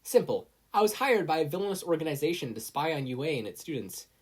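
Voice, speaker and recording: A nonchalant teenage student, played by a narrator, deadpan and unbothered. The voice is slightly husky, in audiobook style. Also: mid-range voice, fast talker, voice pushed up.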